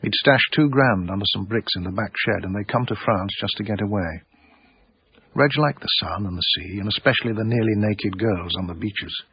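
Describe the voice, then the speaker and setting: An actor playing a wry, literary-minded man narrating his story in a radio drama, deliberate and knowing. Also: lowish voice, husky. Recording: slightly dull, very dry radio recording.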